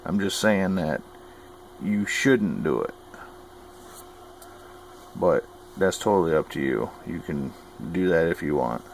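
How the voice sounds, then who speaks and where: A man's voice: sits low, lively intonation, slow pace, vocal fry, pauses often, slight background noise, rough recording. A man giving informal how-to advice as a radio hobbyist, folksy, plain-spoken and opinionated. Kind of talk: informal instructional talk.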